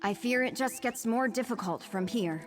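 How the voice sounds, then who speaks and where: A woman acting as a young fantasy game heroine, the cautious twin sister. Her voice is on the high side, earnest and protective, with an anxious edge. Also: normal speed, faint music behind, lightly acted. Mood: worried. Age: young adult.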